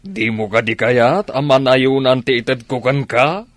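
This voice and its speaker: A man's voice: medium pitch, measured pace, dry recording, close to an everyday voice. A man with an Ilocano accent playing an irritable, evasive philandering husband in a radio drama. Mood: annoyed, defensive.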